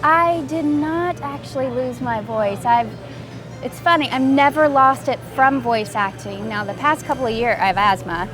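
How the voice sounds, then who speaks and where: A woman voice actress chatting in an interview, upbeat and quick to laugh. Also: high voice, over music, rough recording. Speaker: voice actress guest. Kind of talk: casual interview chat.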